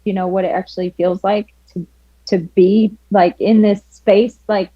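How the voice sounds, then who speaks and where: A woman joins a podcast remotely and speaks in a loose, halting way, padding her thoughts with filler words. Her line sounds a little dull and muffled, and very dry, with no room around it. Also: mid-range voice, no room sound. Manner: relaxed, hesitant, many fillers and likes. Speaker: guest, ultra runner. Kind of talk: casual podcast chat. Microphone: calling in remotely.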